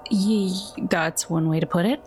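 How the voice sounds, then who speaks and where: A woman's voice: lowish voice, measured pace, a little mellow, slight background noise. A woman playing a tabletop roleplaying game, speaking casually in character.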